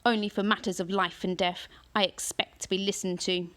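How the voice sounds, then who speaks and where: A woman voicing a booming ancient tree monster. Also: little pitch movement, a bit bright, close to an everyday voice. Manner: slow booming declamation. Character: huge ancient male tree monster, commanding.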